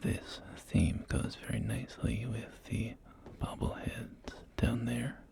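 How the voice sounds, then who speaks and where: A man playing a polite, unflappable real estate agent of the wasteland in a soft ASMR roleplay, whispering in a measured, courteous sales patter. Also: medium pitch. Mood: genteel, reassuring. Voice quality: soft, breathy whisper with creaky murmur. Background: music.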